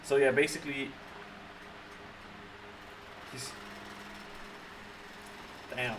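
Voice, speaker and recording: A man's voice: mid-range voice, fairly even intonation, extremely slow. A man streaming a game reaction, reading text aloud and commenting in an informal, slangy way. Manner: informal, slangy, often swearing. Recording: noisy room, distant from mic.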